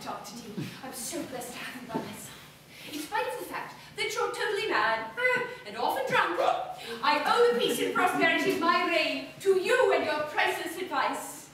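A woman's voice: very high voice, sing-song, normal speed, a crowd in the background. A woman playing a gushing, grandiose queen in a stage comedy, with effusive, theatrical delivery. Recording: echoey stage, far off, noisy.

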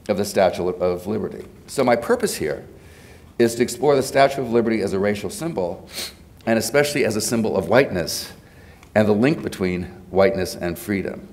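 Low-voiced man lecturing with a cold. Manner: hesitant, many fillers and restarts. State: has a cold. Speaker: lecturer giving a presidential address. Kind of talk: academic lecture. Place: large hotel ballroom. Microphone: podium microphone.

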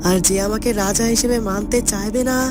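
A woman's voice: medium pitch, steady tone, a little fast, close to an everyday voice. A woman voicing a scheming sorcerer who poses as king in a fairytale cartoon, boastful and commanding. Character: scheming, power-hungry usurper sorcerer posing as king. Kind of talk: dramatic cartoon voice acting. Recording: loud music bed. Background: music.

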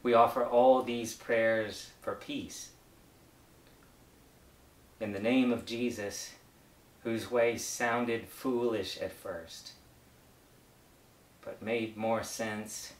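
A middle-aged man, a pastor, leads a prayer in a solemn, measured way, pausing between phrases. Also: steady tone. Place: book-lined study indoors. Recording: roomy echo, low rumbling noise.

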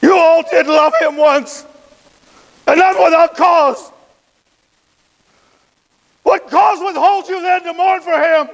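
A man performing a classical stage monologue as a grieving, impassioned, bitterly ironic Roman funeral orator, declaiming deliberately with weighted pauses. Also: extremely high pitch, steady tone, gravelly, full character voice. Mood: anguished, impassioned. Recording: long hall reverb.

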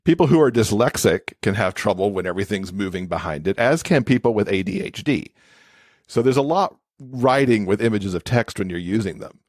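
A man's voice: on the low side, animated, fairly quick, a little mellow, dry recording, studio quality. A man speaking as an interview guest, explaining things conversationally with frequent "you know" asides. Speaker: podcast guest, accessibility expert and author.

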